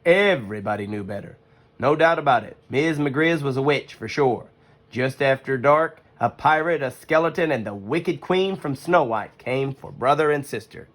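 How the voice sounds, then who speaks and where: A storybook reader giving expressive scripted narration for children. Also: mid-range voice, normal speed.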